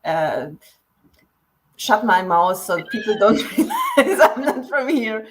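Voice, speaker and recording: A woman from Poland who grew up in Germany, speaking English with a mixed Polish-German accent as an interview guest, repeating words and pausing with ums. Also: on the high side, wildly swooping pitch, over a noisy crowd. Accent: Polish-German mix. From Poland, raised in Germany. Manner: stammering restarts, frequent ums, laughs easily. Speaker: guest author. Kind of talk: casual interview.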